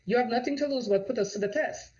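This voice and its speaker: A woman's voice: on the high side, fast, dark tone. Jamaican-accented woman real estate agent, pushy rhetorical sales talk, dull lo-fi recording. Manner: persuasive, rhetorical questions, repeats "right?". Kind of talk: direct-to-camera sales pitch. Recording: dull lo-fi audio.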